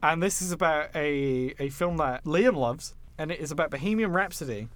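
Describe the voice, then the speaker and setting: Higher-pitched man chatting about films. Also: animated, moderate pace. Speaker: podcast co-host. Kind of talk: casual film news chat.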